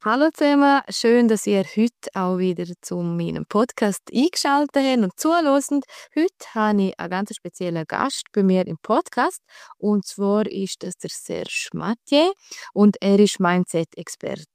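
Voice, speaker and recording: Smooth-voiced Swiss woman hosting a podcast. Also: mid-range voice, animated, unhurried, darkish tone, no echo, clean studio sound. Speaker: podcast host and women's health coach. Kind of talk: conversational podcast interview.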